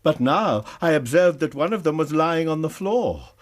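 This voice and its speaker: An actor playing an anxious, proper British college lecturer in a radio drama, speaking formally and precisely. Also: male voice, a little high, lively intonation, moderate pace, close to an everyday voice. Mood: earnest recounting.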